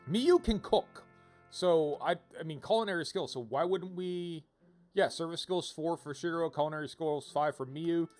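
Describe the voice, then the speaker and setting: A man with a slightly high voice chats casually as he streams a game. He rambles, drops wry, playful asides and reads game text aloud, while soft game music plays behind him. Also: normal speed. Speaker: game livestreamer.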